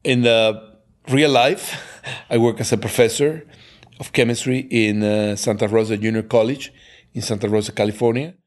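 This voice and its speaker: A man's voice: lowish voice, normal speed. A man from Cuba who works as a chemistry professor, telling an interviewer how he came to love languages with eager enthusiasm. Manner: animated, enthusiastic. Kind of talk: interview storytelling.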